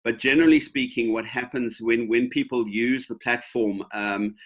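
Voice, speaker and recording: A man's voice: medium pitch, steady tone, moderate pace. A man in his fifties answering questions on a video call, casual and hesitant, with many fillers and restarts. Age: 50s. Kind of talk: casual webinar Q&A.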